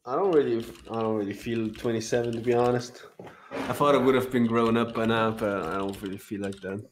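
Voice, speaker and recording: A relaxed man in his mid-twenties chats loosely with friends and drops "dude" often. His voice sits at a middle pitch, and a faint noise runs behind it. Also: a little fast. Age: 20s. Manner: relaxed, says dude a lot. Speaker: podcast panelist. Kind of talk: casual group chat.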